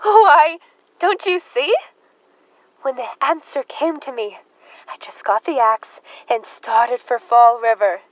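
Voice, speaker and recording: A young woman acts out an unhinged, devout killer sister in a radio play. Her voice is very high and she speaks slowly, halting and fervent, in a close, dry recording. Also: no echo. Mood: fervent, eerie. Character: Emma, devout, unhinged axe-murdering sister, adult woman. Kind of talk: high school audio drama acting.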